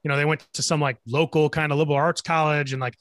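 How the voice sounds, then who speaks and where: A man's voice: on the high side, little pitch movement, quick pace, a bit bright, no room sound, studio quality. A man co-hosting a podcast, asking questions while thinking aloud with many fillers. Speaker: podcast co-host. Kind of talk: interview questions.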